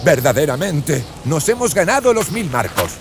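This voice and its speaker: Spooked soldier for horror audiobook, played by a man: highish, urgent, swooping delivery over clear background noise. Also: sing-song, moderate pace. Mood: shaken. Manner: urgent, agitated exclamations. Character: frightened male soldiers, urgent, superstitious, spooked. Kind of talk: dramatized audiobook acting.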